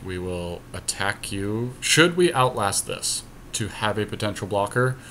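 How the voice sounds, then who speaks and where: A man's voice: on the low side, expressive, a little slow, bright, forceful tone. A man giving casual, slangy commentary on a game, thinking aloud as he goes. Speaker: podcast host playing a card game. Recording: clear background noise.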